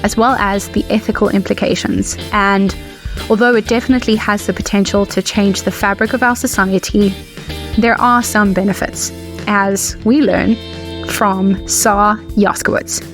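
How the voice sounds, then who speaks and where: A woman speaks as a podcast host and interviewer. Her voice sits at an average pitch for a woman. She delivers prepared introductions and questions, with faint music in the background. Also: fairly even intonation, normal speed.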